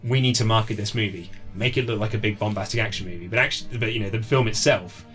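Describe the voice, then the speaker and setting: A man's voice: lowish voice, fast, fairly bright tone, faint music behind. A man chatting casually as an interview guest, animatedly quoting imagined reactions as he talks about films and games. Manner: chatty, acts out imagined quotes. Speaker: interview guest, writer and presenter.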